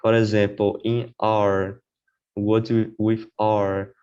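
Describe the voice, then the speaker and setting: Man, halting speech, very slow pace, muffled lo-fi audio. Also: fairly low pitch, level tone, a little mellow. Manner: halting, many restarts, repeats "right?". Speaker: podcast guest. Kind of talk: casual conversation.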